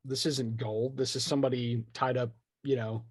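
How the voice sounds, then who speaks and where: A man's voice: mid-range voice, moderate pace, breathy edge, no room sound. Wry man chatting, close dry sound. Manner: animated storyteller, acts out imagined remarks. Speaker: guest, tabletop game writer. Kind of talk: casual conversational interview.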